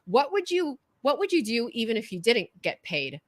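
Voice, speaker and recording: A middle-aged woman with a California Valley accent, whose first language is Korean, talking fast and passionately as a coach in an interview, sometimes stumbling over her words. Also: a little high, sing-song, no room sound. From Southern California. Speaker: guest coach. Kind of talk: energetic interview chat.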